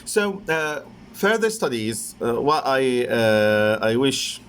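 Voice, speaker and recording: An Egyptian-accented man, a native Arabic speaker, gives a conference lecture in a mid-pitched voice. He speaks haltingly, stopping and repeating himself as he works through his academic points. Faint noise sits behind his voice. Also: very animated, fairly bright tone. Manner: halting, hesitant delivery with repeated phrases. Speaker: professor presenting an academic paper.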